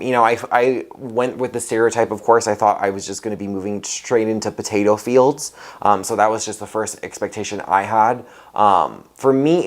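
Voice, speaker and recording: Young man, from Hawaii, lowish voice, hesitant, interview. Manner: hesitant, many um fillers and restarts. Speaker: oral history interviewee. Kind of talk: casual reflective interview.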